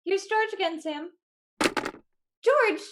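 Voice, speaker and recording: An actress playing a sweet, devoted young bride in a 1940s-style radio drama. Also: female voice, very high-pitched, animated, slow, full character voice. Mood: emotional. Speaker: actor in radio play.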